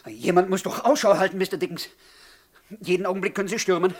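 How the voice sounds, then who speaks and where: A man's voice: high-pitched, fast. An actor playing a timid, superstitious, easily flustered man in a comic radio drama. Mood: worried. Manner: flustered, repeating himself anxiously.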